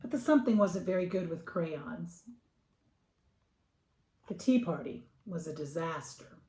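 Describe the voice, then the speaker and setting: A middle-aged American woman hosting a children's picture-book readaloud, talking casually and reading with expressive emphasis. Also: on the low side, unhurried, reverberant, a little hiss or hum, low-quality recording.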